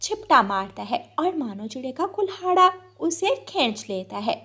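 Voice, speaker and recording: A woman's voice: sits high, very animated, moderate pace, a bit bright, husky. A woman narrating a scripted children's cartoon story as the storyteller.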